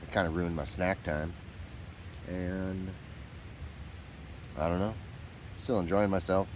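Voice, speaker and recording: A man's voice: sits low, takes it slowly, background noise. A young man vlogging on a backpacking trip, talking casually with many fillers, his voice nasal from a cold and a sore throat. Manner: relaxed, rambling, many uh fillers. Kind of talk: casual vlog talk to camera. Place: outdoors on a hiking trail.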